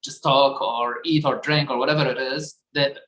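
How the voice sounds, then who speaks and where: A man, earnestly recounting his own life story in a measured way. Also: mid-range voice, steady tone, fairly bright tone. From Iraq. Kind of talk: personal testimony. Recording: roomy echo.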